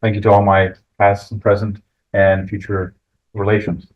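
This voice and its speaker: A man gives an informal lecture on his research, speaking in a halting, improvised way. His voice is fairly low, and the recording sounds dull and lo-fi. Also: monotone. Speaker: book author, researcher giving a talk.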